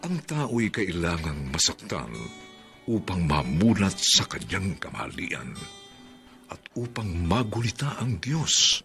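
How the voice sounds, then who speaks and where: A man narrating the closing moral of a radio drama in a solemn, measured way. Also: low-pitched, big pitch swings. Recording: narration over loud music.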